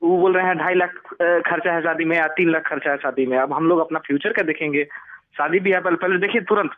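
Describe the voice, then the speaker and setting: A man's voice: fairly high pitch, fast, natural voice. A man voicing an eager, pushy forty-year-old Bihari suitor on a prank phone call, rambling and insistent. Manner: rambling, insistent, talks over the listener. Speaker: radio prank caller. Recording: narrow tinny phone line, dry.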